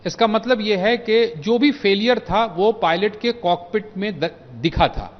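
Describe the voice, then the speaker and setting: An Indian man offers expert commentary in a slow, hesitant way. His voice is quite high, and the recording is muffled with long reverb. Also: lively intonation. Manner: hesitant, mixing Hindi and English. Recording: muffled audio in a reverberant room.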